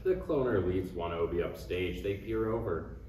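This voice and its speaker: Low-voiced man narrating in echoey room. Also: normal speed, a little mellow. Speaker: stage directions reader. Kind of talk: scripted narration at a live table read. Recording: echoey room, distant mic, faint noise.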